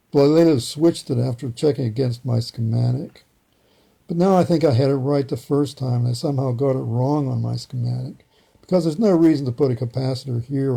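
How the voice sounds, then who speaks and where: Guy walking thru a repair job step by step, kinda mellow n gravelly, matter of fact. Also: medium pitch. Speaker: hobbyist presenter demonstrating radio repair. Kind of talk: explanatory hands-on demonstration.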